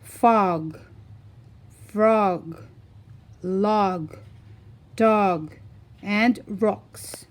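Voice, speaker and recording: Very slow-paced woman teaching phonics. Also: mid-range voice. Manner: deliberate, instructional, enunciating single words. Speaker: children's phonics teacher and reader. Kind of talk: scripted read-aloud phonics lesson.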